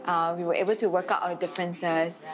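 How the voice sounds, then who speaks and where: A young woman from China chats softly as a reality show contestant. Her voice sits on the low side for a woman, and she pauses often with small fillers. Music plays behind her. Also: level tone. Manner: soft-spoken, with hesitant fillers. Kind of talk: casual chat.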